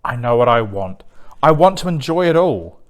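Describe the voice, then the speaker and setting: A man's voice: medium pitch, very animated, normal speed, lightly acted. A man voicing a greedy, self-important young king in a rhyming storybook, with emphatic, grandiose demands. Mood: demanding, excited.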